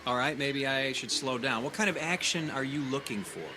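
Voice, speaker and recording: An actor playing a discontented newcomer at a research lab in an audio drama, casual, wry and sarcastic. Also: male voice, mid-range voice, moderate pace, music in the background, natural voice. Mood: wry, probing. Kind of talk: naturalistic audio drama acting.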